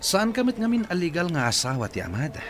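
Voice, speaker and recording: A man's voice: sits high, very animated, quick pace, a bit bright, a little hiss or hum. A man playing a sly, scheming neighbor. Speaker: radio drama actor. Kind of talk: radio drama acting.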